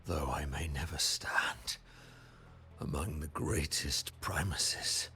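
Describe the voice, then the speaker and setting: Casting: fallen zealot priest in a game, extremely low, very slow, grandiose and halting, gravel breaking into whispers. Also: male voice, fairly even intonation, pushed and bright, faint music behind, exaggerated voice. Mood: proud, dying. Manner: grandiose, solemn, halting declamation. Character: fallen zealous male religious leader, grandiose and dying. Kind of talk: dramatic game voice acting.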